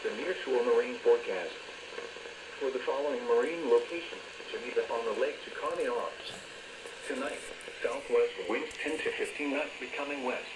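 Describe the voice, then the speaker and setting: An automated male voice with an American accent reads a scripted weather bulletin over the radio in a flat, measured, synthetic way. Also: medium pitch. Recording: noisy weather radio broadcast, strong static.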